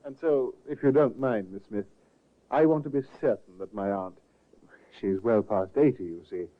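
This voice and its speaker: An actor playing a polite, solicitous middle-aged nephew in a 1950s radio drama. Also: male voice, lowish voice, moderate pace, natural voice. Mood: courteous. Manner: courteous and solicitous. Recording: tinny restored old radio broadcast.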